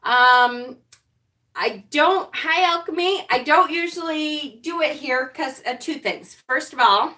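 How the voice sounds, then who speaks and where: Loud, bright-voiced woman hosting a live stream. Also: high-pitched, takes it slowly. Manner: loud, chatty, upbeat. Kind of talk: casual live-stream chat greeting viewers. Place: small home apothecary room indoors. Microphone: camera set a few feet away. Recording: voice a little distant from the mic.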